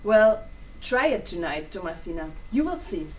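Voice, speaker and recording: A young woman in a staged play reading, playing a calm, knowing grandmother who gives mystical advice. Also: mid-range voice, moderate pace, natural voice. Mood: knowing, reassuring. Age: young adult. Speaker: student actor in a dramatic reading. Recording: distant mic, noisy room ambience.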